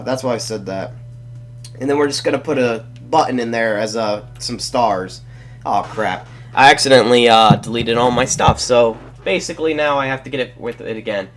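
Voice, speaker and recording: Congested young man rambling through a build. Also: mid-range voice. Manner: rambling, self-correcting, apologetic. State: congested from a cold. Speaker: Let's Play host. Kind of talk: casual building tutorial commentary.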